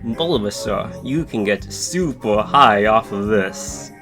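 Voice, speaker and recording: A man voicing a laid-back, matter-of-fact cartoon travel companion and mock narrator in an improvised fandub, deadpan and unhurried. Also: on the low side, over music.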